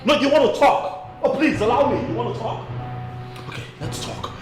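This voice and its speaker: A deep voiced earnest husband, repeats himself, pleading, movie drama, bit of music behind. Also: male voice, unhurried, a bit bright, heavily acted. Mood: agitated. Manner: repeats words insistently, pleading. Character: earnest husband, soothing and pleading between two women. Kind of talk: naturalistic film drama acting. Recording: roomy echo, faint background music.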